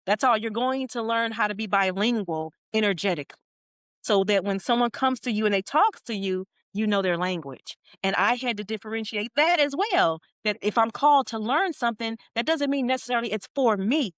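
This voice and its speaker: A woman's voice: on the high side, brisk, a bit bright, dry recording. Emphatic woman coach, dry close sound. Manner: earnest, emphatic, with repeated phrases. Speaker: leadership coach guest. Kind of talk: podcast interview.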